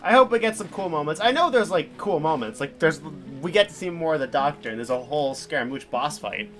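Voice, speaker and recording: A man's voice: fairly high pitch, lively intonation, brisk, fairly bright tone. Rambling man streaming a game. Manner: rambling, self-deprecating. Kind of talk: casual live game commentary. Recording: livestream with faint game music.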